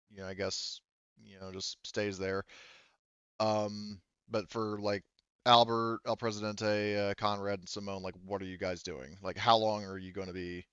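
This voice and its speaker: A man's voice: lowish voice, fairly even intonation, moderate pace, a bit bright, clean tone, no room sound. A man casually moderating a tabletop roleplaying game as game master, on a slightly dull, close mic.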